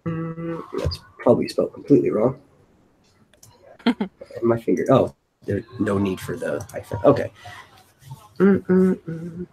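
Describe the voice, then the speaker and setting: A man's voice: medium pitch, very animated, unhurried, warm tone, soft background music, rough recording. A man hosting a casual brainstorming chat, thinking aloud in a loose way. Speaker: host of a brainstorming stream. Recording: noticeable background noise.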